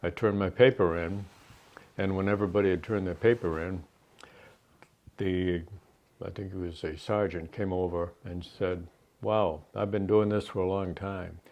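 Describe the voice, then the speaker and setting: An older man from New Hampshire with a New England accent, an Army veteran rambling through his life story with many pauses and fillers. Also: deep voice, steady tone, darkish tone. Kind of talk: interview. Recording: dull lo-fi sound.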